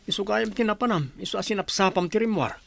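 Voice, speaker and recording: A man's voice: high voice, fast talker, vocal fry, a bit bright. A man acting a weary working husband and father with a Filipino accent in a radio drama, plaintive and long-suffering. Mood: reproachful.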